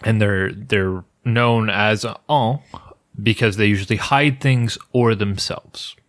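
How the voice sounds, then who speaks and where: Podcast guy explaining folklore, low voice, jokey and loose, trips over his words some. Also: fairly even intonation, takes it slowly, a bit bright. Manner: loose and jokey, with frequent restarts and laughs. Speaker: podcast co-host. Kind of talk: casual podcast chat, presenting researched lore.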